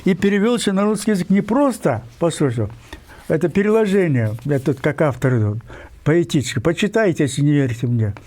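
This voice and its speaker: An older man from Kyrgyzstan, a writer giving an interview, talking insistently with many digressions, pauses and fillers. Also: fairly high pitch, animated, a little mellow. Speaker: guest writer. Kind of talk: emphatic interview talk.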